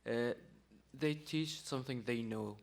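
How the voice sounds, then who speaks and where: A man's voice: medium pitch, unhurried, creaky. Hesitant teenage male student asking a question. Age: teens. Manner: hesitant, searching for words. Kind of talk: unscripted question to a panel.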